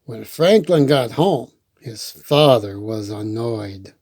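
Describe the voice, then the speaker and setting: Slow, easygoing storyteller with a mellow voice. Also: mid-range voice, animated. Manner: playful, rambling asides. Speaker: storytime host reading a picture book aloud. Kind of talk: storybook read-aloud with chatty asides.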